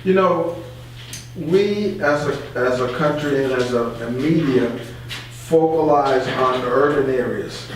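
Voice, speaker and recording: A man explaining things in conversation as a guest, halting and deliberate as he works through his points. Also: medium pitch. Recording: distant, very echoey room, faint noise.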